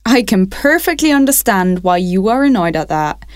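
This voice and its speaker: A woman from Wales hosting a radio phone-in, speaking in a measured, polite and clearly enunciated way. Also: mid-range voice, sing-song, no room sound, clean studio sound. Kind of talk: scripted radio phone-in presenting.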